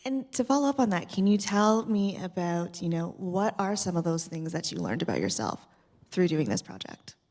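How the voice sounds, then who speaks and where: Lowish-voiced woman moderating in a hall. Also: lively intonation, moderate pace, darkish tone. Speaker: panel moderator. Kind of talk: conversational live Q&A moderating. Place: theatre stage at a live screening Q&A. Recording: reverberant hall, stage microphone.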